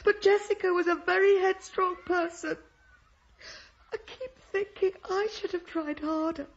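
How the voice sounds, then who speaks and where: A woman's voice: very high voice, measured pace, highs cut off. An actress playing a shaken, anxious woman schoolteacher in a radio drama, speaking in a tremulous, hesitant voice. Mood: distressed. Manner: faltering, tremulous.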